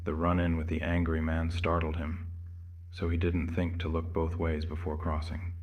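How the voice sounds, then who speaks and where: A man's voice: a bass voice, monotone, normal speed, lo-fi sound. A man giving scripted narration for a horror audio drama.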